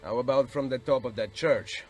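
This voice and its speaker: An actor playing a stoic, courteous Italian assassin in a video game, speaking with an Italian accent. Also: male voice, mid-range voice, normal speed, faint music behind, lightly acted. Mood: weary, courteous. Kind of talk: video game cutscene acting.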